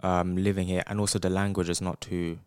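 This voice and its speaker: A man's voice: sits low, flat delivery, a little fast, a little mellow, smooth. A man co-hosting a podcast, chatting casually and asking the guest curious questions. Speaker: podcast host. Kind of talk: casual conversation.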